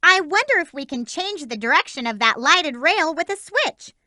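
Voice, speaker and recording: A woman's voice: sing-song, moderate pace, bright, forceful tone, no echo, studio quality, exaggerated voice. An actress voicing a peppy, lovestruck young female hedgehog heroine who bosses her teammates around in a video game. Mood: chipper. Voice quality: high, youthful cartoon voice. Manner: perky, cheerful, giving orders to teammates. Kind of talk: game voice clips.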